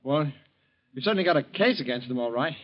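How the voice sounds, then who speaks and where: A man's voice: medium pitch, expressive, fast, lightly acted. An actor playing an anxious, defensive married man in a vintage American radio drama. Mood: tense, guarded. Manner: edgy, defensive, blustering. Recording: dull lo-fi old radio recording.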